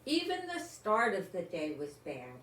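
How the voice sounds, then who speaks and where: Older woman storyteller, slow and animated. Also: medium pitch. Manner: expressive, lingering on words, talking as to small children. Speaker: storyteller reading a picture book aloud. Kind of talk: read-aloud children's story with chatty asides. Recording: roomy home recording with faint noise.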